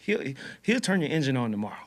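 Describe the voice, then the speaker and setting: A man from Haiti co-hosting a podcast in casual chat, relaxed and rambling with lots of fillers. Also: medium pitch, fast. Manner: laid-back, rambling with fillers. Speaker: podcast co-host. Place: Dallas.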